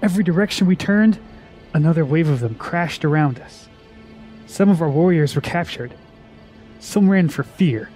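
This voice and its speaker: A shaken young warrior voiced by a man in a fantasy radio drama, fervent and dramatic. His voice is high for a man and swings widely in pitch, over faint music. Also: expressive, normal speed, a little mellow, close to an everyday voice. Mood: shaken, grave. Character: shaken, defeated young warrior reporting from battle.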